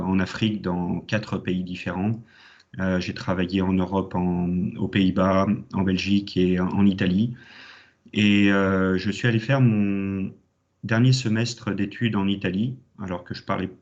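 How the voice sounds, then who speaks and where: A fairly low-voiced man in his thirties talks with enthusiasm and plenty of hesitant fillers. He is giving an alumni talk at an online conference. The audio is dull and lo-fi, as on a video call. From Champagne, France. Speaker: alumni guest speaker.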